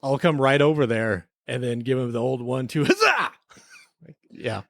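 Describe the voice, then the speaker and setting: A man's voice: medium pitch, lively intonation, moderate pace, vocal fry, fairly bright tone, no echo. Joking male podcast host, dry close sound. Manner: joking, mock-serious. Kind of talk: casual TV episode review chat.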